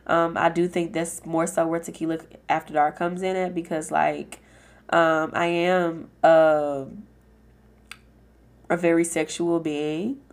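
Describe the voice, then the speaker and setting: A woman hosting her own podcast, chatting casually and frankly with lots of fillers, her voice hoarse, raspy and nasal from a cold. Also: on the low side. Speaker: podcast host. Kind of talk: casual solo podcast chat.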